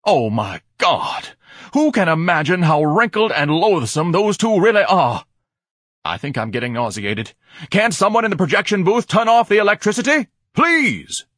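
A man voicing a pompous, melodramatic man who splutters with grandiose indignation, in a husky audiobook character voice. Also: high-pitched, very animated, normal speed, fairly bright tone, no echo, clean studio sound, pitched above the performer's own voice, exaggerated voice. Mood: spluttering outrage. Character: pompous, hysterically outraged man ranting at the world.

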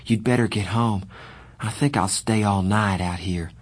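A man doing a quiet, gentle teenage boy. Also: on the low side, fairly even intonation, measured pace. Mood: calm.

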